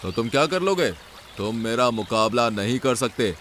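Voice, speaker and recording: A man's voice: medium pitch, expressive, normal speed, fairly bright tone, lightly acted. An arrogant male heron from a cartoon fable, acted by a male narrator, greedy and cruel. He speaks in a boastful, menacing manner with swinging intonation, over faint noise. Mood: defiant.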